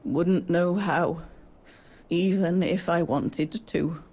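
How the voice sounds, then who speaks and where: A woman's voice: on the low side, steady tone, extremely slow, darkish tone, full character voice. An actress playing a curt, guilt-ridden old woman in a story game, speaking with weary deliberation. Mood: resigned. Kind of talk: dramatic game dialogue.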